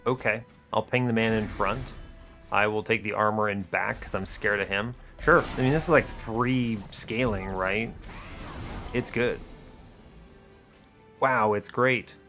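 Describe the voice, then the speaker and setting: A man's voice: on the low side, unhurried, long pauses, pushed and bright. A man hosting a gaming video, thinking aloud through his strategy in casual, wry commentary made of short, colloquial remarks. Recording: music behind the voice. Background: game music.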